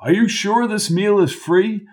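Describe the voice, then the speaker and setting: A chipper, eccentric old college coach, as a male narrator puts him on, high and drawn-out in a jaunty sing-song. His voice sits well above a plain speaking voice. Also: a little mellow.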